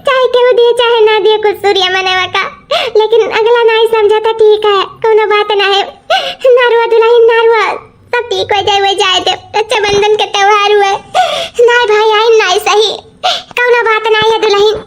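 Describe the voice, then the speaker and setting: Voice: extremely high pitch, fairly even intonation, moderate pace. A performer voicing a tearful young married woman from an Awadhi cartoon comedy who misses her brother, whining and complaining at length. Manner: rambling, self-pitying complaints.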